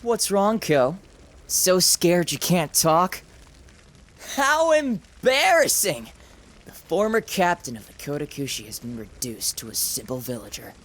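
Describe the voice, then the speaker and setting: A woman's voice: on the low side, very animated, unhurried. A woman voicing a taunting, sadistic male samurai villain in a visual novel, with theatrical, sneering delivery. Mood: sneering, gloating.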